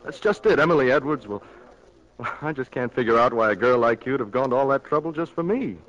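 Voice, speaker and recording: A man's voice: mid-range voice, lively intonation, lightly acted. An actor playing a smitten, boyish young man in a 1940s radio drama, eager and hesitant. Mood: bashful, eager. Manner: hesitant, halting courtship talk. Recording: muffled 1940s radio broadcast over loud music.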